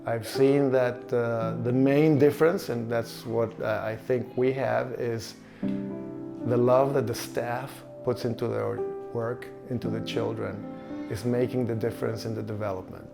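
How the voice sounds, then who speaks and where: Older man from Chile, gravelly voice, halting and earnest, with faint guitar behind him. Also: mid-range voice, fairly even intonation. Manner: halting, earnest, searching for words. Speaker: orphanage director. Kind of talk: documentary interview.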